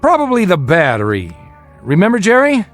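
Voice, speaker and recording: A guarded American father plotting an escape in a radio drama. His pitch moves freely as he gives urgent, commanding instructions. Faint music plays behind the dialogue. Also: wildly swooping pitch, normal speed. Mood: forced cheer. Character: tense secretive family father plotting an escape.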